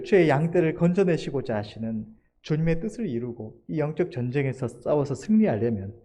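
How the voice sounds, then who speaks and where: A man's voice: fairly high pitch, warm tone. A man preaching a formal, scripted sermon in an earnest, emphatic manner, letting his sentence endings fall.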